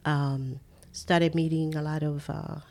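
An older woman from the Caribbean speaks as an interview guest, her voice low, mellow and gravelly. She talks unhurriedly, pausing often on fillers as she gathers her thoughts. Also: little pitch movement. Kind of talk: casual interview chat.

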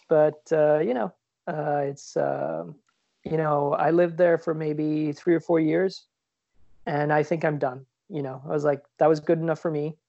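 A man's voice: fairly high pitch, expressive, slow, creaky, pauses often, mellow, no echo, muffled recording. A man from Switzerland chatting casually as a podcast co-host, rambling with lots of fillers and restarts. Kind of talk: casual chat. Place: lives in New York.

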